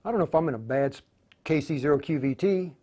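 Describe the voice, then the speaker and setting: A man hosting an unscripted live tutorial, speaking haltingly and often muttering to himself as he works. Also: on the high side, warm tone, husky. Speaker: host of a live radio tutorial.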